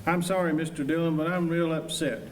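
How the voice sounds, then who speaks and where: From a distant mic in an echoey auditorium, a man plays an earnest young frontier assistant. His slightly high voice is folksy and protective in this radio western. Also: steady tone, a little fast, slight background noise, lightly acted. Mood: upset, defiant. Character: earnest, loyal, indignant young male marshal's assistant. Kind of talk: staged radio western drama. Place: high school auditorium stage.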